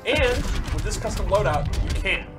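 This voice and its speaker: A man with a slightly high voice walks through game moves on a live stream. He explains things in an instructive way while music plays clearly behind him. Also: expressive, moderate pace. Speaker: game developer demonstrating moves. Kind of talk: live stream gameplay demonstration.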